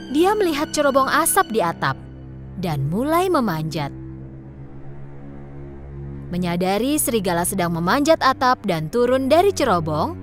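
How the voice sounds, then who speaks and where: A woman narrating a scripted children's story as the storyteller. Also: on the high side.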